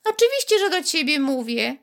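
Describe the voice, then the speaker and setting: A woman's voice: sing-song, normal speed, gravelly, full character voice. Woman putting on a thin little bead voice. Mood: indignant. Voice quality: thin, high. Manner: grumbling, impatient. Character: magic blue bead, small male, fussy.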